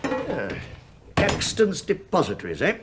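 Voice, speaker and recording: Wily, rambling English police inspector, lively pitch. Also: male voice, measured pace, very noisy, exaggerated voice. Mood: genial rambling. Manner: rambling, deferential, needling small talk. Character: wily, chatty, falsely bumbling male police inspector. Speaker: police inspector in a radio play. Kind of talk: British radio drama acting.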